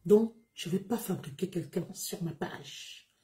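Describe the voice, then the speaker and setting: A woman, talking straight to her followers on a livestream. Her voice is mellow and warm, and her pitch rises and falls in big, lively swings. She speaks unhurriedly and declaims each point with weight. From Gabon. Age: 30s. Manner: emphatic, declamatory, deliberate. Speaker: live streamer.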